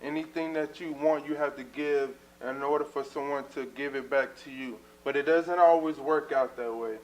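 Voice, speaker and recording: A young man hosting a church talk show, his voice sitting a little high for a man. He talks earnestly and leans on plenty of "uh" and "um" between thoughts. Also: steady tone, moderate pace, rough recording. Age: 20s. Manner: earnest, many fillers. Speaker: talk show host and minister.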